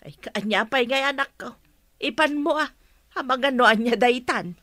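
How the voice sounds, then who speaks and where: A woman's voice: sits high, moderate pace, bright, forceful tone, no room sound, studio quality. An actress with an Ilocano accent playing a nosy, outspoken, fretful middle-aged mother in a radio drama. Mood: motherly.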